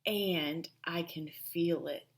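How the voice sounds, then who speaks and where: A woman voicing a calm, contented storybook lion with a slow, sighing purr. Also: lowish voice, pitched below the performer's own voice. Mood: satisfied.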